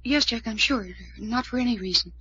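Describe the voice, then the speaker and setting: Young female for vintage radio mystery: demure, hesitant, protesting, husky edge, dull old broadcast sound. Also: fairly high pitch, brisk, pushed and bright, heavily acted. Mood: puzzled. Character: earnest, reluctant young woman under questioning. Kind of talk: 1940s radio drama acting.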